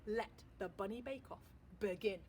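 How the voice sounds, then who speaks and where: A woman's voice: medium pitch, moderate pace, a little mellow, a little hiss or hum, voice pushed down, lightly acted. A woman reading aloud as bunny bake-off judges announcing the rules in a formal way.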